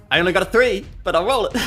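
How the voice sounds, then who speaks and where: A man chatting casually as a player in a tabletop game, joking and mocking himself. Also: high-pitched. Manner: jokey, animated, self-mocking. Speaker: player in tabletop RPG podcast.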